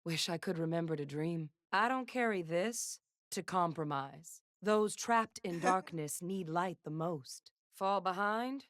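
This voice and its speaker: A woman's voice: lowish voice, a little slow, few pauses, dry recording, natural voice. An actress playing a resolute, haunted woman sentinel gunslinger back from death in a video game, solemn and commanding with dry wit. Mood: brooding, resolute. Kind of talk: video game character voice lines.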